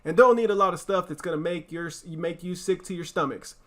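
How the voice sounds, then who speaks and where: A man's voice: high-pitched, brisk, pushed and bright. A man voicing a practical, easygoing farm father in a storybook, with plain fatherly delivery. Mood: firm, fatherly.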